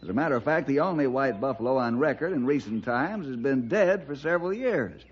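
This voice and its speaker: Calm, inquisitive ranger, American, husky, lively intonation, old-time radio drama. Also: male voice, mid-range voice, fairly quick. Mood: curious. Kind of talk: 1960s radio drama acting. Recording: dull vintage radio recording.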